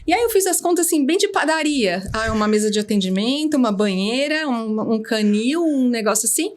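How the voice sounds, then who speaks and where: A woman's voice: a little high, animated, a little fast. Frank woman from São Paulo telling her story. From São Paulo, Brazil. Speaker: veterinarian and business owner, guest. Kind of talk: casual interview.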